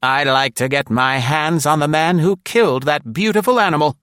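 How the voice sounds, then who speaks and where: Man putting on a shrill, imperious older woman. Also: normal speed, a bit bright, clear, resonant tone, no room sound, clean studio sound, natural voice. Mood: indignant. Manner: majestic, firm, indignant.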